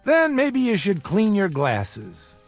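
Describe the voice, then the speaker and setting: An actor playing a world-weary, middle-aged small-time bookie, wisecracking with breezy bravado in a radio crime drama. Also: male voice, high voice, wildly swooping pitch, slow, soft background music, heavily acted.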